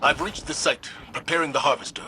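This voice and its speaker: An actor playing a solemn, earnest military commander haunted by grief in a video game drama. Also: male voice, on the high side, fast, bright, forceful tone, slight background noise, low-quality recording. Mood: resolute. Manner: grave, earnest. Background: music.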